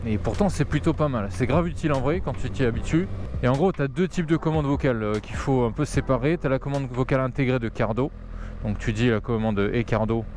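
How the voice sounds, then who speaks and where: A man's voice: medium pitch, a little fast, darkish tone. A man giving a casual, slangy, chatty gear review while riding a motorcycle, speaking into a helmet intercom microphone. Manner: informal, slangy, chatty, occasional swearing. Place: outdoors, riding a motorcycle in traffic. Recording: road and vehicle noise behind voice.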